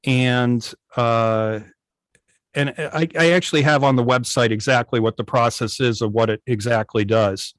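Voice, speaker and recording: A man's voice: medium pitch, steady tone, unhurried, fairly bright tone, dry recording, clean studio sound. A man explaining technical things casually on a video call, hesitant and often repeating his words. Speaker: guest, radio station operator and programmer. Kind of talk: casual technical explanation in an online club meeting. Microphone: video call microphone.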